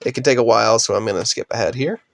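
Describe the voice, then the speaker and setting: A young man with a voice raspy from a cold, steadily narrating a slide presentation on his undergraduate research project. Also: medium pitch, rapid-fire, dry recording, clean studio sound. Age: young adult. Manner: steady, explanatory, trails off at sentence ends.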